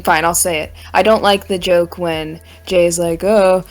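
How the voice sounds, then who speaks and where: A woman's voice: on the low side, steady tone, a little slow. A woman co-hosting a podcast, giving her opinions in a casual group chat. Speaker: podcast co-host.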